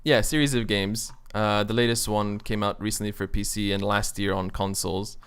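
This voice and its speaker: A man's voice: fairly low pitch, flat delivery, normal speed, fairly bright tone, smooth. A man chatting casually and enthusiastically on a gaming podcast as its host, swearing freely.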